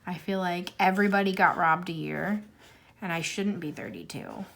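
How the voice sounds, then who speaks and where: A woman's voice: on the low side, moderate pace, vocal fry, fairly bright tone. A woman in her thirties chatting casually to camera, rambling and self-deprecating, with many fillers. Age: 30s. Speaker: YouTuber answering a tag questionnaire. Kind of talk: casual chat while coloring.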